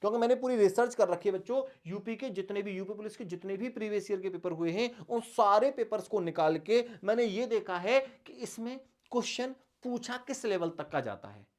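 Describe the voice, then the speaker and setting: A man's voice: high-pitched, expressive, a little fast, hardly any pauses, darkish tone. Male teacher coaching students. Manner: addresses students affectionately, instructive, repeats points, asks rhetorical questions. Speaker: maths teacher for exam preparation. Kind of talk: online coaching lesson, strategy talk to students.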